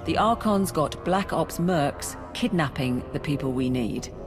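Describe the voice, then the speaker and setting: A woman playing a brisk, dry-witted Imperial military aide in a video game, businesslike and wry. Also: low-pitched, expressive. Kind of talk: video game briefing dialogue. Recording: game music behind the voice.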